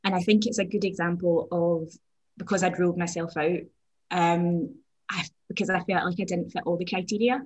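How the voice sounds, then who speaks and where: Flat-toned woman on a video call. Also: lowish voice, breathy edge, low-quality recording. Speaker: digital communications manager, panelist. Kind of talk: panel talk.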